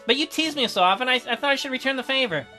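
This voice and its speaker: A man voicing a shy, gentle, awkward doctor in a visual novel, with a hesitant and mild manner. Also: very high-pitched, lively intonation, very fast, faint music behind, full character voice. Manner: hesitant, mild, softly apologetic.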